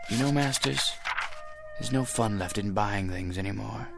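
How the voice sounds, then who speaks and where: An actor playing a weary, generous and wistful millionaire in a radio drama. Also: male voice, fairly low pitch, normal speed, creaky. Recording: music under the dialogue.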